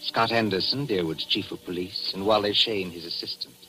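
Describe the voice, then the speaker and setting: A man's voice: deep voice, poor audio. Formal 1940s radio announcer man over music. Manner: measured, formal, ominous. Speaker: announcer and narrator. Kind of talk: 1940s radio scripted narration.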